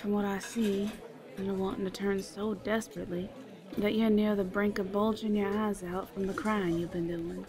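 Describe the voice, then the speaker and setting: A woman's voice: mid-range voice, little pitch movement, normal speed, crowd noise, close to an everyday voice. Woman acting a brash, folksy small-town matron. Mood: frank, reassuring. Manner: folksy, blunt, teasing. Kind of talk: audio theater acting.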